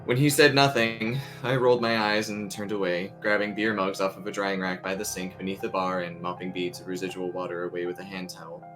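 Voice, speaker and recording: A man's voice: deep voice, little pitch movement, fairly quick, hardly any pauses. A man reading a creepy story aloud as its narrator, with music playing underneath. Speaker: story reader.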